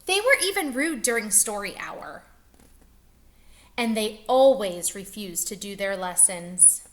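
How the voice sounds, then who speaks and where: A woman teacher reading a picture book aloud to young children, expressive and stretching words for emphasis. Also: mid-range voice, a little slow.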